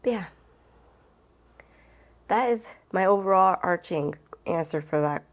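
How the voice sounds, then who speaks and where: A woman's voice: lowish voice, a little slow. A woman book vlogger chatting casually and rambling to camera, with an informal, gushing and emphatic way of talking.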